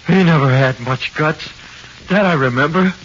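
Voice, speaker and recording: An actor playing a frightened, slangy condemned convict with an American accent in a 1940s radio horror play, halting and pleading. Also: male voice, a little high, natural voice. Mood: uneasy. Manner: slangy, halting, swinging from flat confession to anxious pleading. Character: frightened condemned convict awaiting execution, pleading, uneducated tough. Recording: muffled, hissy 1940s radio recording.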